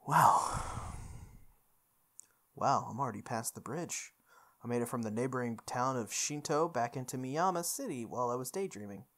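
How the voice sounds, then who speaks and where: Low-key woman, even pitch range. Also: moderate pace. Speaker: playthrough host reading a visual novel aloud. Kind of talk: reading game narration aloud with casual asides.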